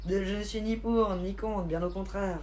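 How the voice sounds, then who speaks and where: A woman's voice: mid-range voice, moderate pace. Informal woman streamer, noisy background. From Switzerland. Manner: informal, slangy, expressive. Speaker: live streamer chatting with viewers. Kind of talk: casual live chat, reading and answering comments. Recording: live stream with clear background noise.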